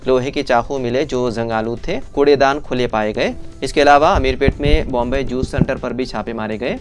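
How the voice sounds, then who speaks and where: A man presenting scripted news in a formal bulletin delivery, as a news presenter would. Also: medium pitch.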